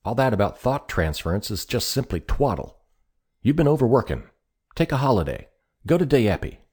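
A man voicing a stout, prosperous, hearty visitor in an audiobook, talking loudly, briskly and bossily. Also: mid-range voice, sing-song, husky, pitched above the performer's own voice. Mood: brusque advice. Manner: loud, brisk, emphatic.

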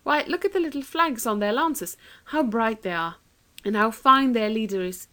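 A woman's voice: on the high side, expressive, moderate pace, sharp tone, voice pushed up. Woman as a coaxing, cheerful elder-sister girl. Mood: cheerful reassurance. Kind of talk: children's storybook reading.

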